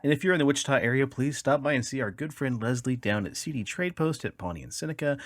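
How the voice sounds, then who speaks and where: A man's voice: mid-range voice, quick pace. A man with an American accent hosting a casual comedy podcast. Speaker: podcast host.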